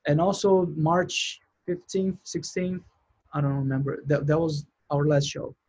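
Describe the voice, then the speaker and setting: A man's voice: fairly high pitch, a little slow, darkish tone, low-quality recording. A man with a Brazilian accent, a sound engineer, telling his story in a casual interview and rambling with many restarts. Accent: Brazilian Portuguese. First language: Portuguese.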